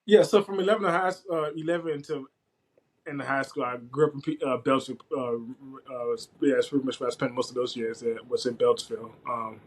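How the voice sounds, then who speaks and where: A young man with a medium-pitched voice talks casually in an interview. He is informal and wanders a little, often restarting a thought as he explains himself. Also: fairly even intonation, brisk, husky, low-quality recording. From West Africa (Liberia), later the US from age eleven. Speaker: podcast guest, IT systems administrator.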